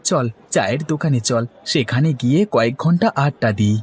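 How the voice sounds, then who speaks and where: A man's voice: mid-range voice, animated, a little fast, a little mellow, close to an everyday voice. A man voicing a poor, hospitable village man in a cartoon, effusive and insistent. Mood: friendly, inviting. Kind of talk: Bengali cartoon story dialogue.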